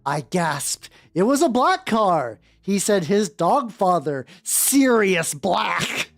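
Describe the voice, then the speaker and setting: A woman's voice: medium pitch, big pitch swings, takes it slowly, dry recording, clean studio sound. A woman VTuber streamer doing a comic fanfiction reading with playful, irreverent, chatty asides. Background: background music.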